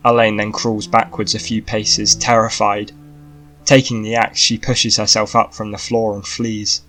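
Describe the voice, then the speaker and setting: A man's voice: on the low side, little pitch movement, measured pace, sharp tone, faint music behind. A man narrating a scripted fantasy audiobook in a dramatic storytelling style.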